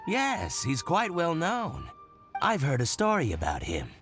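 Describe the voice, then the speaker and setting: An actor voicing a theatrical, possessive merchant in a video game, with a bargaining, gossipy manner. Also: male voice, a little high, huge pitch swings, measured pace, fairly bright tone, faint music behind, full character voice. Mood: wary, gossipy.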